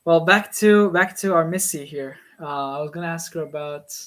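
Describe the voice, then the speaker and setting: A man's voice: a little high, moderate pace, fairly bright tone. A man in a casual online voice chat, teasing and pushing others with questions. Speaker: voice chat participant.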